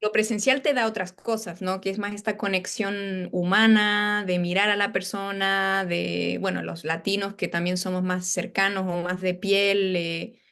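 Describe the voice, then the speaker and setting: A woman from Chile with a soft Chilean Spanish accent, chatting as a language teacher on a video call, often tagging her sentences with questions. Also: medium pitch, moderate pace, hardly any pauses, smooth. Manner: relaxed, chatty, many tag questions. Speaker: Spanish and English teacher, interview guest. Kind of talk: casual interview over video call.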